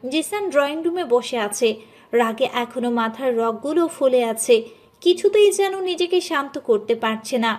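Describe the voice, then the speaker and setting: A woman narrating a dramatic audio story from a script. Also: sits high.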